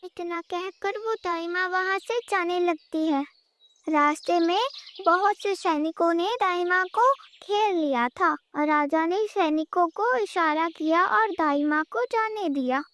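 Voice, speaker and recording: A woman's voice: very high voice, unhurried, fairly bright tone, slight background noise. A woman narrating a scripted children's cartoon story.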